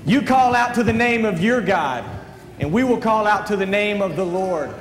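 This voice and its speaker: A man's voice: high voice, expressive, normal speed. A man playing a bold, defiant prophet in a children's Bible stage play, declaiming with emphasis. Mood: solemn, challenging. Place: auditorium stage. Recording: echoey auditorium, voice at a distance, faint noise.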